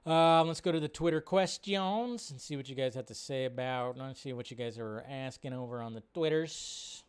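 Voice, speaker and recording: A man's voice: medium pitch, normal speed, clean tone. A man hosting a livestream in a casual, off-the-cuff way, rambling and repeating phrases as he chats with viewers. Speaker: livestream host. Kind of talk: casual live chat, reading and answering viewer questions.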